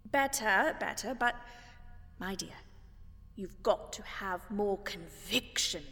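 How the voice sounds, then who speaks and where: A woman's voice: medium pitch, expressive, takes it slowly, pushed and bright, in a large hall, heavily acted. A woman playing a haughty, exacting grande dame of classical theatre, pompous, precise and scolding. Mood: stern coaching.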